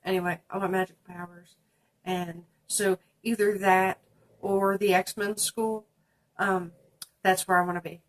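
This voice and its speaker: A woman's voice: medium pitch, little pitch movement, unhurried. A young woman, stuffy from a sinus infection, chatting casually about books on her channel, with lots of ums. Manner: chatty, many ums, reading prompts aloud. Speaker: book vlogger. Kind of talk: casual book tag video.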